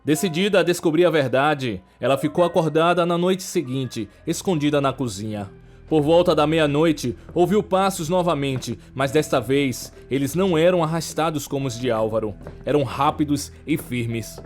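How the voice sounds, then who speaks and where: A man with a Brazilian accent narrating a scripted horror story in a measured, dramatic way. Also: on the high side, faint music behind.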